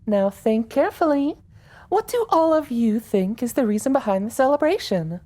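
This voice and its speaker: A woman voicing a composed pony mayor teaching a class, measured and instructive, in an audio drama. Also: fairly high pitch, expressive, dark tone. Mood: kindly instructive.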